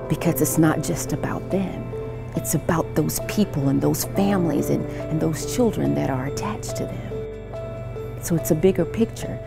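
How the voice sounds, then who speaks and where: A woman answering in an interview, halting and repeating words as she searches for phrasing. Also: low-pitched, creaky, over loud music.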